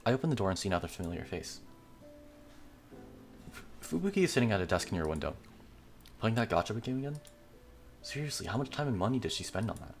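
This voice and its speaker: Lively low-voiced man narrating a game. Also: fast talker, pauses often. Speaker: let's play host reading a visual novel aloud. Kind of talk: casual dramatic reading with reaction asides. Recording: music behind the voice. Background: game music.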